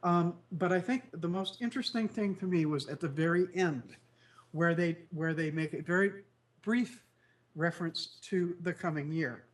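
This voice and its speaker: A co-host of a casual online news chat show, slightly nasal and croaky from a cold, rambling with many fillers. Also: male voice, fairly high pitch, normal speed. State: congested, recovering from flu.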